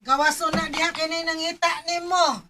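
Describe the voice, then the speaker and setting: A woman's voice: extremely high pitch, steady tone, normal speed, sharp tone. A woman acting a protective, anxious middle-aged mother in a Bisaya radio drama, with exclamatory delivery. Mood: calling out. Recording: faint background noise.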